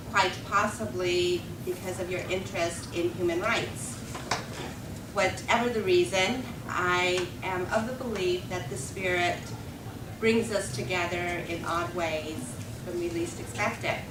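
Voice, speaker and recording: A woman's voice: fairly low pitch, steady tone, a little slow. A woman opening an advocacy event with a formal, earnest welcome and introductions of the guests. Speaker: event moderator for advocacy groups. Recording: echoey hall, distant mic, background noise.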